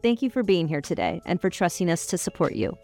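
Social worker lady from northern california, low voice, sincere, faint music. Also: very animated, fast talker, dark tone. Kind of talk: scripted introduction.

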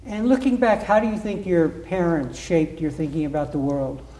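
A man's voice: a little high, animated, normal speed, mellow, slight background noise, low-quality recording. A man hosting an interview program, giving a formal introduction and then asking questions. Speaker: interview host.

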